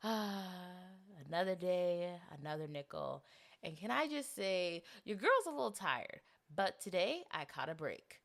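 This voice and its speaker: Young woman, podcast host, slightly low pitch, rambling casual chat, a bit congested. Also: measured pace, creaky. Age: 20s. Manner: chatty, rambling, animated asides.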